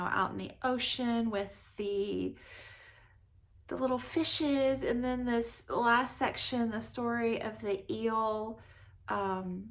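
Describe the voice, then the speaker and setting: Unhurried, enthusiastic woman chatting about books. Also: medium pitch. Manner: enthusiastic, effusive, repeats words for emphasis. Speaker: book vlogger. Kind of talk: casual book review chat.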